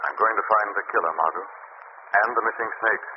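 A man voicing a commanding hero in an old radio play, speaking steadily in a fairly low voice. The broadcast sounds dull and lo-fi, with clear hiss underneath. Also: fast talker, close to an everyday voice. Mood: calm. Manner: steady and authoritative. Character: calm, commanding male crime-fighting hero. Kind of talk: 1940s radio acting.

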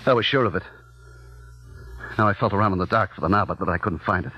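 An actor playing a terrified, hunted man who recounts his ordeal in halting, intense phrases in an old-time radio suspense style. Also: lowish voice, dull audio. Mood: shaken dread. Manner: breathless, halting, intense storytelling in short clipped phrases. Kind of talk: old-time radio suspense acting, first-person narration. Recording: vintage radio drama with loud music underneath.

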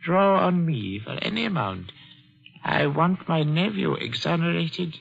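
An actor playing a grave, world-weary old Indian merchant with formal, philosophical phrasing in a 1940s radio drama. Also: male voice, on the high side, expressive, measured pace, gravelly, a bit bright, husky, heavily acted. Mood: measured, persuasive. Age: older. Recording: dull vintage 1940s radio recording.

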